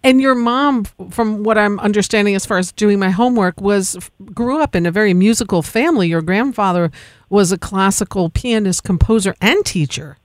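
A woman's voice: mid-range voice, brisk, no room sound, clean studio sound. A woman with an American accent hosting a conversational interview show.

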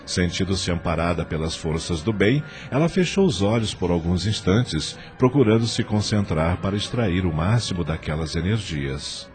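Male radio drama narrator: deep voice, animated storytelling, faint music bed. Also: normal speed, few pauses.

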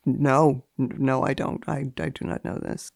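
A woman speaking as a guest columnist in a deadpan, theatrical manner, as if giving a dramatic reading. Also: very deep voice, a little slow, gravelly, no room sound, clean studio sound. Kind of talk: dramatic reading of a book index and deadpan commentary.

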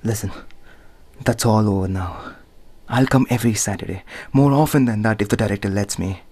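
A man's voice: fairly low pitch, normal speed, a little mellow, husky. A man acting an evasive, placating husband in an audio play, speaking in a soothing, hesitant way.

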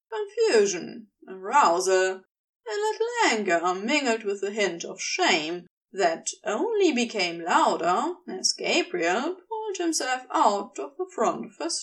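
A woman reading fiction aloud as an expressive narrator, with a wry, conversational way of telling the story. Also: high voice, distant mic. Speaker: audio fiction reader.

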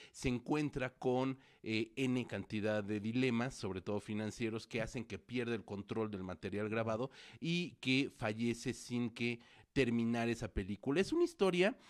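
Guy chatting about movies in a studio, lots of "eh" and restarts, middle pitch. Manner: discursive, many fillers and restarts. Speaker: co-host film critic. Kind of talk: casual film review chat. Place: studio with producer at console.

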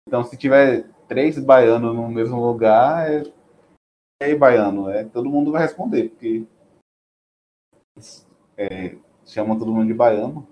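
A man's voice: mid-range voice, a little slow, pauses often, highs cut off, a little hiss or hum. A man from Bahia with a Bahian accent, talking casually and restarting his sentences often. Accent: Bahian Portuguese. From Bahia, Brazil. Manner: hesitant, many restarts and fillers. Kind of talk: casual conversation.

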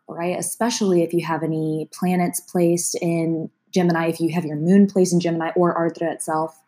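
A woman with a cold, sounding congested, giving a casual, chatty astrology forecast to her viewers and laughing now and then. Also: fairly low pitch. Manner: chatty, gentle, laughs between sentences. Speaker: astrology vlogger. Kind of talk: casual talk to camera.

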